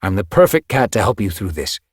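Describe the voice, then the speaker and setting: A low, husky tomcat's voice, grieving but steady, pressing urgently and kindly, as a male narrator reads him. It sits a bit lower and rougher than usual, recorded dry and close. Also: fairly quick, no room sound, full character voice. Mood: earnest, urging. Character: young male warrior cat, grieving, steady and caring. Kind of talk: audiobook character voice.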